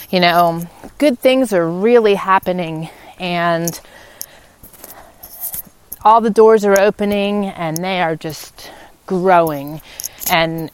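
A woman hosting a podcast in a reflective, unscripted monologue, pausing mid-sentence as she thinks, recorded outdoors on a walk. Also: lowish voice, smooth. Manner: halting, pauses mid-sentence while thinking. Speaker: podcast host.

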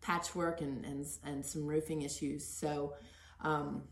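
Middle-aged woman, lowish voice, echoey room. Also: flat delivery, slow, vocal fry. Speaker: podcast host interviewing her son. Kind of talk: casual conversational interview.

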